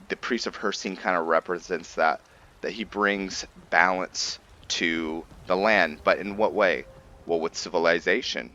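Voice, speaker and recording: A man's voice: low-pitched, bright, forceful tone, dull audio. A man giving casual let's play commentary, musing and explaining as he goes. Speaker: host of a gaming roleplay series.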